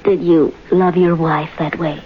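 Dull old radio recording, secret lover. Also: female voice, deep voice, flat delivery, unhurried. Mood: tender, hesitant. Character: married man's secret lover, tender and quietly conspiratorial. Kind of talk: mid-century American radio melodrama acting. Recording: dull, lightly noisy vintage radio recording.

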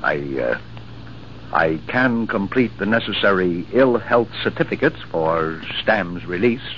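Deep-voiced, grave army doctor. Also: male voice, lively intonation, unhurried. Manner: formal, serious. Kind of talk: old-time radio drama acting. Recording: dull, noisy 1940s-50s radio recording.

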